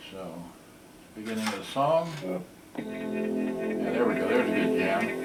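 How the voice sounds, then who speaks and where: Distant, roomy man over loud music. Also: on the low side, very animated, a little slow. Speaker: video creator demonstrating a cassette radio. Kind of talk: casual unscripted product demo. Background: loud music from cassette radio.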